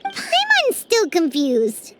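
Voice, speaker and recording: A woman voicing a tiny, excitable, easily confused fairy companion with a squeaky childlike voice in an anime game. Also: takes it slowly, heavy background noise, exaggerated voice. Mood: puzzled. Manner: exclaiming, speaks of herself in third person.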